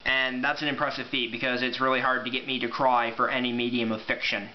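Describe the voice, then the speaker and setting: Male, 20s, casual amateur vlogger, mid-pitched, dull camera audio with some noise. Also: level tone, quick pace. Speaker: amateur book reviewer. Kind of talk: casual vlog review with read-aloud passages. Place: small room at home, indoors.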